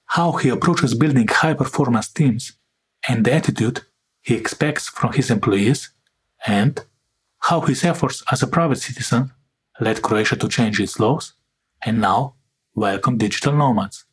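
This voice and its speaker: A man's voice: medium pitch, normal speed, husky, low-quality recording. A man hosting an interview podcast in a conversational way, often padding his sentences with filler phrases. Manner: frequently interjects "let's say". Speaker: podcast host.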